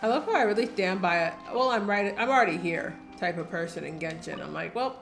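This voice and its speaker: A woman's voice: on the low side, lively intonation, fast, gravelly. A young woman giving casual, rambling live commentary on a game, wry and self-deprecating. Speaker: game streamer. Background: game music.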